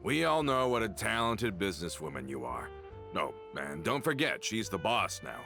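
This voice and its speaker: A loyal gang member speaks in a relaxed, friendly way. His low voice moves up and down with life, and faint game music plays behind. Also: moderate pace, gravelly. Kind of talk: video game voice acting.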